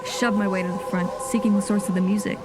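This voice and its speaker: A woman's voice: medium pitch, flat delivery, fast, natural voice. A woman playing a grave, haunted nineteenth-century sea captain reading a log in solemn, formal diction for an audio drama. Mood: haunted, brooding. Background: music.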